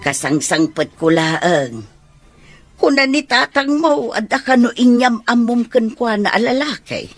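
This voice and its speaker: A woman's voice: on the high side, animated, unhurried, no room sound, soft background music. A woman playing an older, teasing village mother and matchmaker in an audio drama, coaxing and nagging. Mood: curious, teasing.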